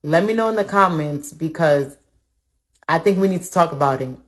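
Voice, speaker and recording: A woman's voice: low-pitched, expressive, moderate pace. A woman of Dominican background talking casually to her followers, often asking them "right?". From Dominican Republic. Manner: chatty, keeps asking the audience "right?". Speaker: content creator. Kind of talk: casual social media talk.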